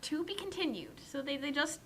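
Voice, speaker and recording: A woman's voice: very animated, brisk. A young woman giving casual, exclamatory commentary, often stammering in disbelief, over a slightly noisy microphone. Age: young adult. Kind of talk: casual, reactive commentary chat. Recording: noisy microphone with low rumble.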